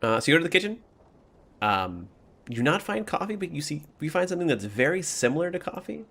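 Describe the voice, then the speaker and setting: A man with a creaky voice, casually narrating a tabletop game as the game master. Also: on the high side, sing-song, fast, fairly bright tone.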